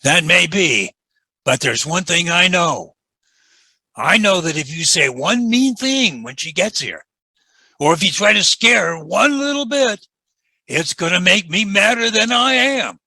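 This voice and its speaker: A man's voice: sits high, expressive, measured pace, gravelly, pushed and bright, dry recording, exaggerated voice. An actor playing a loyal, indignant young lawman's assistant with an American accent and a plain, earnest way of speaking in a Western radio drama. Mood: upset, defiant. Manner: plain-spoken, stammering earnestness.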